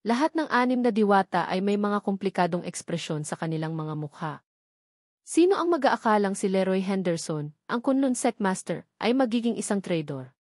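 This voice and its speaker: A woman's voice: mid-range voice, lively intonation, normal speed, darkish tone, no echo, clean studio sound. A woman reading a novel aloud as a scripted narration. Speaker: audiobook narrator.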